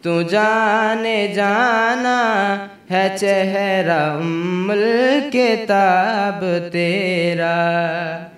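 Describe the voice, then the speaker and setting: High, slow, echoing young male devotional singer. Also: a bit bright, faint music behind. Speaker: amateur naat singer. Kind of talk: slow devotional naat singing. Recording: echoey, distant microphone.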